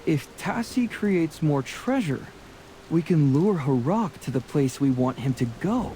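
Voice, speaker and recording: A man's voice: fairly high pitch, expressive, a little slow, low-quality recording. A man voicing a straightforward, curious young adventurer in a scripted video game.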